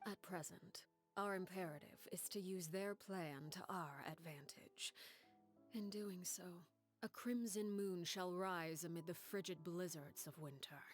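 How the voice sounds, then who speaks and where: An actress playing a cold, commanding woman who leads a secret household, speaking in a measured, formal and quietly menacing way. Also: lowish voice, faint music behind, lightly acted. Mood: cold, resolute. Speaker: leader of an organization. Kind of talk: video game voice acting.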